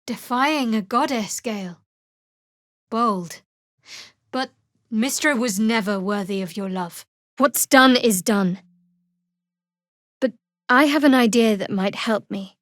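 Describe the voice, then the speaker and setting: A woman playing a guarded, wry young cleric of a dark goddess in a video game, with a dry, sardonic and measured delivery. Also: fairly high pitch, no room sound. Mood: guarded, earnest. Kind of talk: video game character dialogue.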